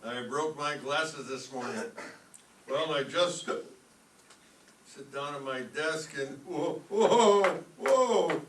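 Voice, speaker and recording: An actor playing a pompous, flustered high school principal with a halting, blustering manner, in an old-time radio comedy style. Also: male voice. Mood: befuddled. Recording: roomy, distant stage pickup with background hiss.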